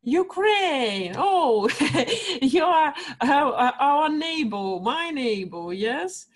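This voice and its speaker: A Russian-accented woman practising English with a tutor, searching for words between frequent ums and uhs. Her voice sits slightly high, and she speaks slowly and carefully over a video call. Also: very animated. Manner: hesitant, many fillers. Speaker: student, primary school teacher. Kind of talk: halting learner English.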